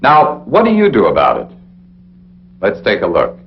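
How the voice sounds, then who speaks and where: An American man narrating a 1960s military training film in an authoritative, deliberate, instructional way, speaking straight to the listener. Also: on the low side, huge pitch swings. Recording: dull, noisy 1960s film soundtrack with hum.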